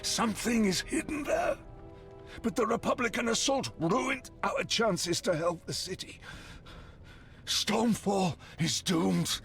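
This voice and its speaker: Gravelly, resigned captive fighter. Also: male voice, high-pitched, animated, fairly bright tone, faint music behind, heavily acted. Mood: grave, weary. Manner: grave, deliberate, explanatory. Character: defeated captive fighter, resigned, earnest bearer of warning. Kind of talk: dramatic fantasy game acting.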